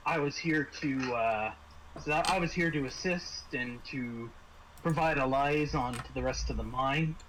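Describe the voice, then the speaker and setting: A man's voice: mid-range voice, measured pace, noisy background, close to an everyday voice. Man playing an evasive, stammering company man. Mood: evasive, nervous. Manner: stammering, hedging, repeats himself. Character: evasive male mine company liaison, nervously helpful.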